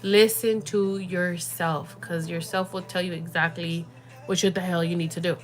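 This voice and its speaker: A woman's voice: fairly low pitch, fairly even intonation, normal speed, soft background music. A young woman hosting a casual livestream, talking in a rambling way with lots of fillers and the odd Spanish word. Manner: rambling, full of fillers, slips into Spanish words. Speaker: livestream host.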